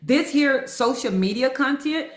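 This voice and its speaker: A woman co-hosting a casual interview, talking quickly and cheering her guest on with short affirmations. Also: high voice, expressive. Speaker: co-host. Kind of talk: casual conversation. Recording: roomy echoey sound.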